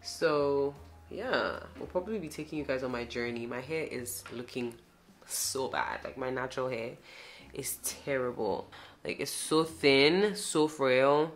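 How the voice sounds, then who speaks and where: Very low-voiced woman vlogging. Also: a little slow. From Ghana. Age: young adult. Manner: chatty, filler-heavy, addresses viewers as guys. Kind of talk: casual chat to camera. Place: at home indoors.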